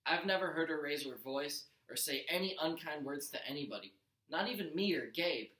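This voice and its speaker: A man's voice: a little high, animated, fairly quick, bright, forceful tone. A man, a teacher, narrating a first-person storybook aloud with expression. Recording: roomy echo.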